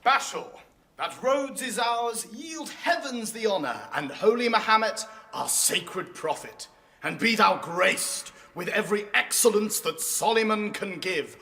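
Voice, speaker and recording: An actor playing a lovesick young prince performing as a Turkish emperor, declaiming verse with ornate, theatrical passion. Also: male voice, high voice, expressive, measured pace, pushed and bright, heavily acted. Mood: ardent, grand. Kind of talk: declamatory Elizabethan verse in radio drama. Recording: reverberant hall.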